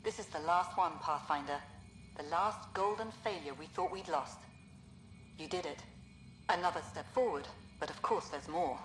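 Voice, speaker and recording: A woman's voice: lowish voice, moderate pace, fairly bright tone, roomy sound, soft background music, lightly acted, low-quality recording. An actress playing a stern, pragmatic woman who directs a colony, speaking with dry skepticism. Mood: wry.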